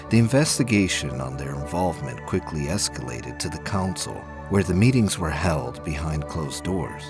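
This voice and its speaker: Very deep male narrator over music. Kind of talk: scripted dramatic science fiction narration.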